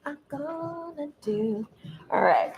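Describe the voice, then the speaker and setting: A woman with a loud, carrying voice giving a casual tarot reading, emphatic and preachy, swearing freely. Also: high-pitched, expressive, takes it slowly, gravelly, very noisy. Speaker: tarot reader.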